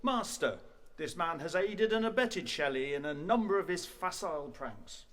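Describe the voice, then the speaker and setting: A man's voice: a little high, lively intonation, normal speed, fairly bright tone. An actor playing an indignant male college don in a radio play, scornful and blustering. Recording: echoey hall with long reverb.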